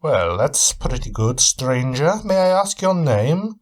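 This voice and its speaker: A man's voice: medium pitch, big pitch swings, slow pace, pitched above the performer's own voice. A man voicing a weary, haunted male vampire hunter who talks in an earnest, formal, intense way. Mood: curious.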